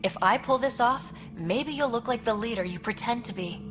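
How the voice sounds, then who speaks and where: A woman's voice: a little high, moderate pace, close to an everyday voice. An actress playing a bold, sardonic young woman explorer in a video game, speaking in a direct, dry, challenging way. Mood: defiant. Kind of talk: video game cutscene acting. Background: music.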